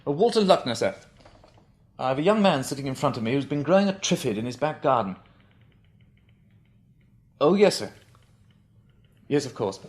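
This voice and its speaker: A man plays a brisk English company research chief. His voice sits at a middle pitch, and he talks in clipped, probing phrases with a businesslike air, as in a radio play. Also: sing-song, close to an everyday voice. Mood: businesslike, intent. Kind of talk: British radio drama acting.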